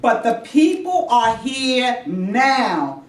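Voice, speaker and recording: A woman's voice: a little high, very animated, extremely slow, exaggerated voice. A woman playing a fiery protest activist eager to fight, in an urgent, challenging staged debate. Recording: echoey room, distant mic.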